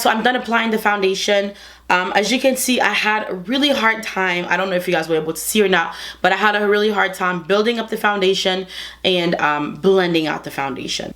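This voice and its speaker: A woman's voice: medium pitch, fairly bright tone. A young woman with a congested, under-the-weather voice, chatting to her viewers while reviewing and applying makeup at home. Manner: chatty, talks straight to viewers. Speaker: beauty vlogger. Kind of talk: casual makeup review and demo. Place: bedroom studio with clothing rack.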